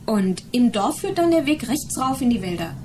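A woman's voice: a little high, big pitch swings, moderate pace, warm tone, a little hiss or hum. An actress playing an anxious, practical mother in a 1980s German radio play.

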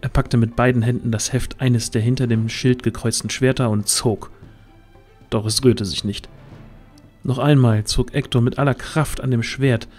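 A man narrates a fantasy audio drama in a literary storytelling style. His voice sits at a middle pitch, with music softly behind it. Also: fairly even intonation, moderate pace.

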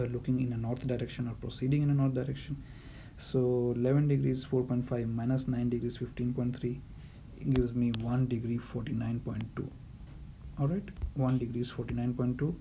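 A man's voice: mid-range voice, gravelly, dark tone, slight background noise. A man teaching navigation calculations in a voice-over lesson, methodical and repetitive, with frequent fillers. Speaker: navigation instructor. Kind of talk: explanatory lesson over handwritten notes.

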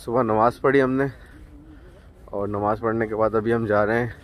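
A man's voice: on the low side, steady tone, normal speed. A man in his thirties with a Pakistani Urdu accent, chatting to his phone at arm's length outdoors on a beach and a boat. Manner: chatty, showing things to the camera. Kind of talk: casual selfie narration. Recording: engine noise behind.

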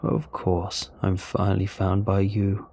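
A man voicing a stranded male alien in an audiobook-style story, with a deep, gravelly voice and slow, halting, deliberate delivery. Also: monotone, dark tone, full character voice. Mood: wry amusement. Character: stranded male alien, weary, hopeful, wryly amused.

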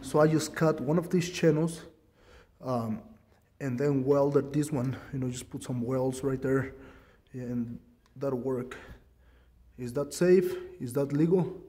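A man's voice: medium pitch, takes it slowly, warm tone, long reverb tail. A man casually demonstrating a workshop tool modification, rambling and self-deprecating with many ums, filmed on a handheld phone in his garage. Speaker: DIY fabricator showing a tool mod. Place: home garage workshop, indoors. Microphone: handheld phone camera.

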